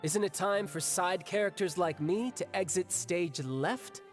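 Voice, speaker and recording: A man's voice: high voice, unhurried, soft background music. A man playing a cocky, flippant young mercenary in a video game, sardonic and theatrical in manner. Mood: impatient.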